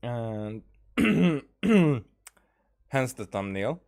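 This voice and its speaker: A man livestreaming a game in a casual, rambling way, often halting and trailing off mid-thought. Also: mid-range voice, very animated, creaky, a little mellow. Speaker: game streamer. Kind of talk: casual livestream chat over gameplay.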